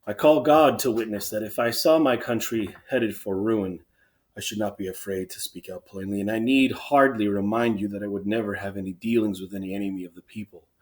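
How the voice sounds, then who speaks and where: Man reading as a stern, commanding king, low-voiced. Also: a little fast, natural voice. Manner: declamatory, formal, emphatic. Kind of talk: dramatic reading of Greek tragedy.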